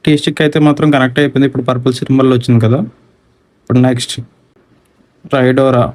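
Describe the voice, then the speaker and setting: A man's voice: mid-range voice, level tone, fast talker, a little mellow. A man with a Telugu accent giving a casual hands-on product walkthrough as a gear reviewer, mixing in English technical words. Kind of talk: casual unboxing and installation walkthrough.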